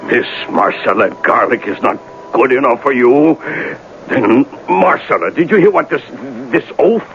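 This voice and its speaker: Radio drama: jealous, formal blusterer, very animated pitch, muffled old recording. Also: male voice, a little slow, creaky, slight background noise. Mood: pompous, indignant. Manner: pompous, formal, indignant. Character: pompous, jealous, hot-tempered fiancé spoiling for a duel. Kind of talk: 1970s radio drama acting.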